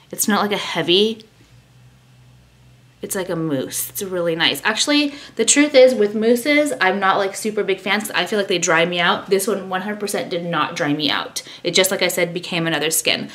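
A woman's voice: lowish voice, normal speed, a bit bright, slight background noise. Chatty rambling woman vlogger. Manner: chatty, rambling, self-deprecating. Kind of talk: casual vlog chat with product talk. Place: bedroom at home, indoors. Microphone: camera at arm's length.

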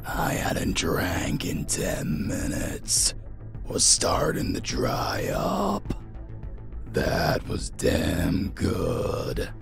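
A savage, battered vampire master in a dark audio drama. His low, fry-laden voice crawls along menacingly, lurching up and down, with clear music behind it. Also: heavily acted. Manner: slangy, drawn-out, menacing. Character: savage, battered vampire lord, hungry, gleefully cruel.

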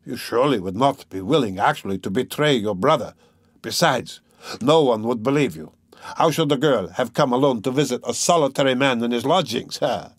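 A man's voice: mid-range voice, animated, a little fast, no room sound, pitched above the performer's own voice, lightly acted. A man voicing an obsessive, menacing tormentor in an audiobook, feverish, insinuating and emphatic. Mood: cold menace. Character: obsessive, menacing male tormentor, pleading then coldly mocking. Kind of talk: dramatic audiobook character reading.

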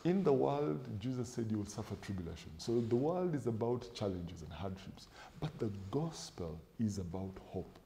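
A middle-aged man with a low voice and a mellow, dark tone. He talks like a pastor on a studio set, measured and emphatic, building points in lists and quoting scripture. The sound is close and clean from a lapel mic. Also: sing-song, a little hiss or hum. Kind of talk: preaching-style interview answer.

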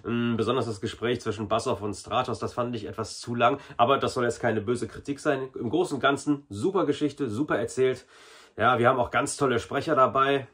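A man's voice: medium pitch, brisk, a bit bright, breathy edge. A man in his forties giving a casual, chatty review to camera in a small room. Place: small indoor hobby room.

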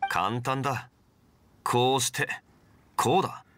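An actor playing a calm, erudite gentleman in a video game, speaking formally and with measured composure. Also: mid-range voice, background noise, close to an everyday voice. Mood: composed. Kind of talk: Japanese game voice acting.

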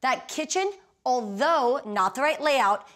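A woman's voice: high voice, expressive, slow, a bit bright. A woman co-hosting a home renovation show, talking through a house in a casual, upbeat, decisive way. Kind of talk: casual on-site chat and narration. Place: house under renovation, indoors.

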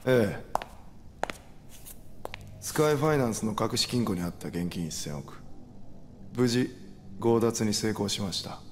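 An actor playing a composed gangster henchman in a video game drama, speaking politely, formally and with measured care. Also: fairly low pitch, lively intonation, slight background noise. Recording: long hall reverb, music behind.

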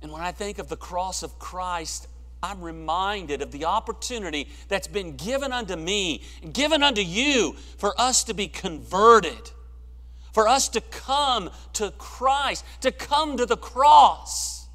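Middle-aged man, preacher, high voice, impassioned sermon, big church hall reverb. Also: animated, measured pace, sharp tone. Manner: impassioned, rising to emphatic peaks then dropping low. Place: church sanctuary, large room.

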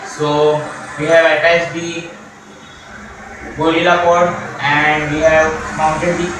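A man's voice: a little high, flat delivery, noisy background. Young man, echoey room, distant mic. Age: young adult. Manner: plain, unhurried, frequent "uh" pauses. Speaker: motorcycle vlogger. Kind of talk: casual how-to explanation. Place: small room at home, indoors. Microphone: camera mic from across the room.

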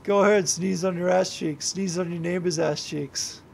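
A tipsy young man streams a game and rambles, cursing freely and breaking into yells at the screen. His voice sits high for a man and has a gravelly rasp. A faint hiss runs under it. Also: fairly even intonation, normal speed. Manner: rambling and heavy swearing, yelling at the game. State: drunk. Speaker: YouTuber and streamer. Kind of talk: gameplay livestream commentary.

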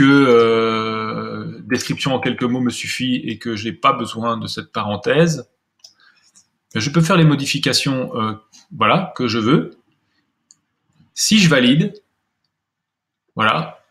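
Man, medium pitch, screencast tutorial presenter, step-by-step explanation, slightly hesitant delivery. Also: fairly bright tone.

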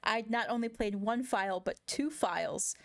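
A woman co-hosting a casual podcast chat, speaking in a calm, steady, soothing way. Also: on the high side, normal speed, vocal fry. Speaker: podcast co-host, gaming content creator.

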